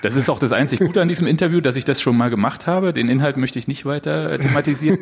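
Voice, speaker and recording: A man's voice: medium pitch, fast talker, creaky, husky. Man from Jena, panel guest, wry and dry, mid-range voice. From Jena, eastern Germany. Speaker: guest writer. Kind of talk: live stage panel talk. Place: theater stage.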